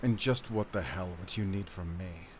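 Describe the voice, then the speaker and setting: An actor playing a bitter, defiant lone werewolf hunter, giving terse retorts in an audio drama. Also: male voice, sits low, normal speed, a little mellow, a little hiss or hum, close to an everyday voice. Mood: bitter defiance. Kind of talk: cinematic audio drama acting.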